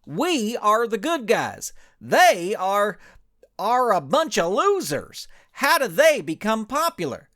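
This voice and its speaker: An indignant cowboy hero from a comic audio story, acted out by a man. The voice is forceful and lifted high, with a folksy drawl and big swings in pitch. He carries himself like a blustering team leader. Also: wildly swooping pitch, slow, exaggerated voice. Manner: forceful, shouting.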